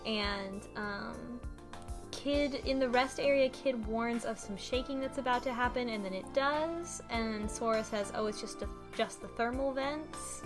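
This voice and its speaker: A woman's voice: fairly high pitch, a little slow. A woman in casual chat, recapping a story step by step with frequent ums and restarts. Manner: methodical, many ums and restarts. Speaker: podcast co-host. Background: game music.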